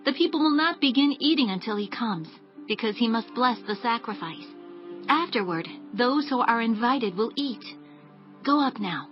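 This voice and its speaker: A woman's voice: fairly high pitch, expressive, moderate pace, close to an everyday voice. An actress playing a helpful, eager young townswoman in a dramatized audio Bible, urging her listeners along. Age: young adult. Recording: dull lo-fi audio with music. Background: background music.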